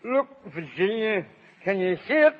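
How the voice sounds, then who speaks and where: A thin, echoing old radio recording. a henpecked husband in a raised, very animated voice. He drags out his words, fussing and pleading meekly. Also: high-pitched, heavily acted. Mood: placating. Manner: plaintive, drawn-out, fussing. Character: fussy, meek, whining henpecked middle-aged husband. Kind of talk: 1930s radio drama acting. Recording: thin phone-like 1930s radio, long reverb.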